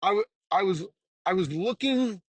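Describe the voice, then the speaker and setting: A young adult man, a stand-up comedian, rambling casually and candidly in a halting, self-reflective way with some casual swearing. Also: sits high, animated, husky, no room sound. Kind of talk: casual rambling monologue, audio journal.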